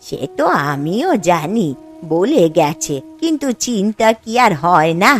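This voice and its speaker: A woman doing an anxious old village mother in a cartoon, with slow, drawn-out words. Her pitch climbs and falls a lot as she frets. Soft music plays under her. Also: big pitch swings. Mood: worried. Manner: anxious, mournful.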